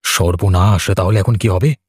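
A man's voice: sits low, normal speed, husky, dry recording, studio quality. A man playing a scheming, threatening village villain in a horror cartoon, with theatrical delivery. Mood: alarmed. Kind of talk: Bengali horror cartoon dialogue.

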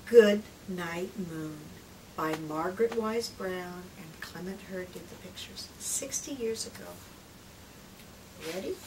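A middle-aged woman reads a picture book aloud to small children. Her delivery is slow, gentle and expressive, with drawn-out phrases and soft hushed moments. She is recorded at a distance from the microphone, with clear room noise behind her. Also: fairly low pitch. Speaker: children's read-aloud presenter and trainer. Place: small indoor room lined with picture books. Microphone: far camera microphone.

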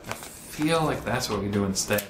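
Card player, man, calm and methodical, low voice, roomy studio with audible noise. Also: normal speed. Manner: calm, explains plays step by step. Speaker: card game player, show regular. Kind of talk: casual game commentary. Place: indoor studio table, overhead camera. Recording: echoey room with clear background noise.

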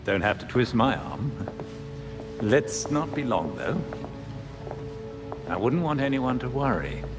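An actor playing a dignified, loyal elderly British butler in a game cutscene, speaking in a measured, courteous, dry way. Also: male voice, mid-range voice, big pitch swings, music in the background. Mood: dryly fond. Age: older. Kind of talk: video game cutscene acting.

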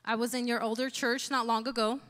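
A young woman interpreting a sermon on a church stage, repeating each phrase briskly and plainly. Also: fairly high pitch, flat delivery, fairly bright tone. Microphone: handheld mic. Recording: long reverb of a large hall.

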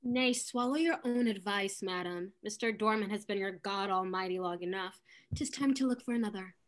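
A woman playing a witty, sharp-tongued young lady in a classical stage comedy, arch and mocking. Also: medium pitch, normal speed, close to an everyday voice. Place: indoors at home, on a video call.

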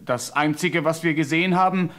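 An obedient, apologetic servant acted by a man in a fairy-tale audio play, speaking in a moderately high male voice. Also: brisk, a bit bright, lightly acted.

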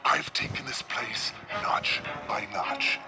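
A bitter game supervillain with a low voice, gloating with menace. He speaks slowly and dramatically over loud music. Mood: menacing gloating. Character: bitter, powerful male supervillain gloating over a ruined city.

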